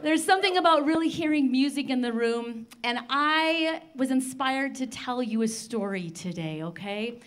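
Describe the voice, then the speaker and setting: An older woman telling a children's story live in an expressive, theatrical manner with dramatic pauses. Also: high voice. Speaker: storyteller on a children's radio show. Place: large library room, live before a seated audience. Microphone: handheld microphone.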